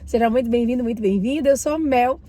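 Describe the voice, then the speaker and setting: A woman's voice: a little high, fast. A woman from Bahia with a Bahian Brazilian accent, talking casually to her viewers as a channel host while still getting over a cold. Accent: Bahian Brazilian Portuguese. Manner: warm, chatty, addresses viewers directly. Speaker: mindset and finance channel host. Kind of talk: casual talk to camera, reading and commenting a book.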